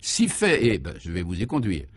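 A man's voice: deep voice, wildly swooping pitch, moderate pace. A man voicing a young, distinguished government attaché, formal, obliging and affected, in a comic radio serial.

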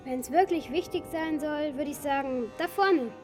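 Casting: female performer as pert, deadpan girl, high voice, radio drama with faint music. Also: normal speed, natural voice. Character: cheeky, precocious young daughter, dry-witted. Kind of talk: German radio drama acting.

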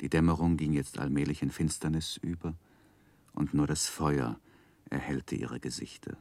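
An actor playing a grown man narrating his own life story in a radio drama, with measured, wry delivery. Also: extremely low pitch, fairly even intonation, gravelly, darkish tone, dry recording. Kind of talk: scripted literary narration in 1960s radio drama.

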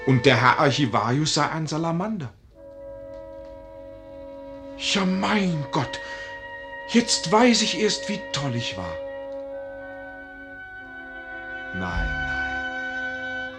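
An actor in a radio play voicing a polite, dreamy and excitable young man with a hesitant, wondering manner. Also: on the high side, slow pace, pauses often, music in the background, heavily acted. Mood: dazed wonder. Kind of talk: German radio play acting.

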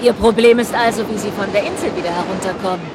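An actress as a cautious woman striking a deal in a radio play, her voice at medium pitch. She is brisk and businesslike, with clear wave-like noise behind her. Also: natural voice. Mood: brisk, guarded. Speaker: radio drama actor. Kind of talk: naturalistic German radio drama acting. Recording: clear seaside background noise.

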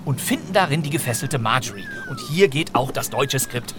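A man's voice: medium pitch, big pitch swings, fairly quick, loud music behind the voice. A man presenting and narrating in a chatty, comic, mock-dramatic way, as if retelling a story to listeners.

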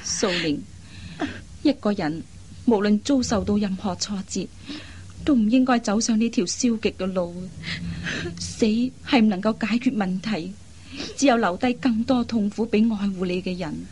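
A woman playing an anxious, caring elder sister in an expressive, earnest radio drama style. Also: mid-range voice, measured pace, lightly acted. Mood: gentle, earnest. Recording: faint background noise.

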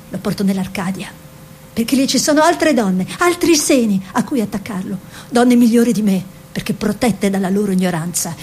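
An actress playing an Italian woman painter and tender, anguished mother in a radio drama, with deliberate, lyrical phrasing. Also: a little mellow, close to an everyday voice. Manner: deliberate, lyrical phrasing with short repeated sentences. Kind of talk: literary stage-style radio drama monologue and dialogue. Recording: faint background noise with low rumble.

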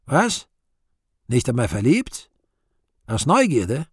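An actor playing the Devil in a radio play, weary, sly and scornful, declaiming slowly and theatrically. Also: male voice, medium pitch, huge pitch swings, slightly rough, no room sound, clean studio sound, full character voice. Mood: probing, scornful. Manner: slow, deliberate, theatrical declamation with drawn-out words.

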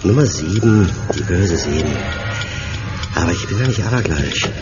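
Radio drama villain: low, swooping voice, falsely caring policeman with menace underneath, loud music behind. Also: animated, normal speed, muffled recording. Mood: gloating, menacing. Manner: smooth, solicitous with menacing undertone. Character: sinister villain posing as helpful London policeman. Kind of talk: German radio play acting.

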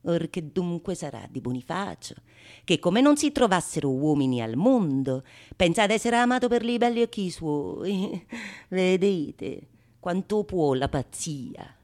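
Slow, low-voiced, scornful scheming courtesan. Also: female voice, very animated. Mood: shrewd, calculating. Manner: declamatory, sardonic, swinging from sung refrains to hushed asides. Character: shrewd, mocking, calculating courtesan scorning her besotted suitor. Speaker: stage actress performing solo. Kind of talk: theatrical monologue in a radio play.

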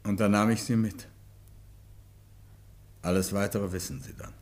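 An actor playing an anguished, defensive husband confessing in a radio crime drama, speaking haltingly. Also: male voice, lowish voice, little pitch movement, a little mellow, close to an everyday voice. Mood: sombre, pleading. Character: anguished husband confessing, protective of his wife.

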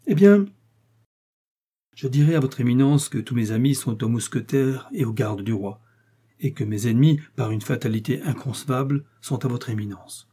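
A man's voice: medium pitch, moderate pace, mellow, husky, lightly acted. A man reading an audiobook, voicing a brave, proud young swordsman who speaks in a respectful, earnest way.

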